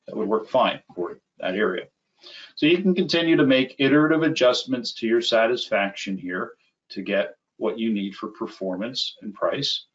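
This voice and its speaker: A middle-aged man giving a conversational, step-by-step software demo as a webinar presenter. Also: medium pitch. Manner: conversational, unhurried step-by-step explaining. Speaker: webinar presenter, applications engineer. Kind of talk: live software demo, explanatory. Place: small indoor room at a computer. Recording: slightly dull, band-limited webinar audio.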